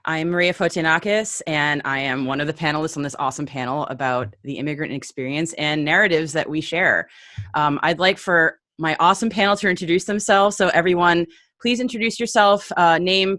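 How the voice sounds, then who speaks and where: A woman moderating a panel on a video call, upbeat and quick to thank each speaker. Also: fairly low pitch, fairly bright tone, no echo, studio quality.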